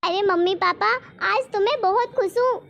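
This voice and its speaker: An extremely high, childlike female cartoon voice, acted by a woman. The delivery is emphatic and exclamatory, full of devotion, as in a family moral story. Faint noise sits behind it. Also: moderate pace, vocal fry, a bit bright, muffled recording, heavily acted. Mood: excited. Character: excited, devoted young daughter and her protective mother.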